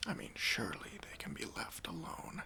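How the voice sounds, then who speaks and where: A man's voice: moderate pace, sharp tone. Whispering, stingy male boss played by a man. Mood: condescending, fussy. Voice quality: breathy whisper. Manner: hesitant, condescending, passive-aggressive politeness. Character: stingy, demanding male boss giving a job interview. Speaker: ASMR roleplay performer. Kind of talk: whispered ASMR roleplay. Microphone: close microphone.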